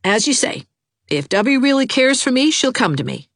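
A woman's voice: high-pitched, very animated, fairly bright tone, dry recording, studio quality, voice pushed up, full character voice. A woman voicing a lovesick, gloomy young man who talks in an earnest, fretful rush. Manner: earnest, fretful, fast-talking. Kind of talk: audiobook character voice.

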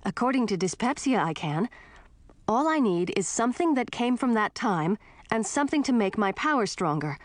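A woman's voice: fairly high pitch, very animated, normal speed, dry recording, voice pushed up. A woman voicing a bold, earnest young princess with magic powers, insistent and forthright, in a storybook reading.

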